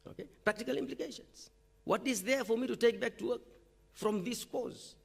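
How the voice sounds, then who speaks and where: High, gravelly-voiced man giving a conference talk. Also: normal speed. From Fiji. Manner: conversational, frequent "okay?" check-ins. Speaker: university lecturer presenting at a teaching forum. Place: lecture hall or forum room.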